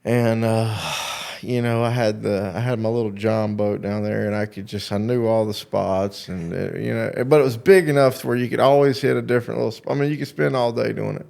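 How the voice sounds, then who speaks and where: A man's voice: on the low side, fairly even intonation, fairly quick, creaky, a little mellow. A man in his late thirties hosting a casual interview, chatting in a folksy, slangy way. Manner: folksy, slangy, says "dude" and "bro". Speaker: show host interviewing a guest. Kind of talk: casual chat.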